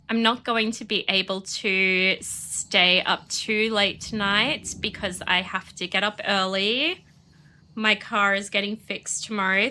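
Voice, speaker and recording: A woman with a bright, clear voice chats away to her stream while she plays, sniffling a bit from a cold. She rambles easily from one thought to the next and laughs often, and she talks at an unhurried, slow pace. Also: mid-range voice. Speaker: game streamer. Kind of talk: casual chat while playing.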